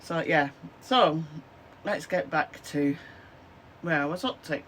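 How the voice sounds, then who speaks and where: A woman in her fifties with a Lancashire accent, a chatty crafter with a chesty cough and a hoarse voice, rambling to camera from her small craft room with lots of fillers. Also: low-pitched, slow, a bit bright, slight background noise. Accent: Lancashire English. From Lancashire, England. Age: 50s. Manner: rambling, with many "um, so yeah" fillers. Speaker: craft vlogger. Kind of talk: casual chatty to-camera vlog. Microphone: camera at desk, close framing.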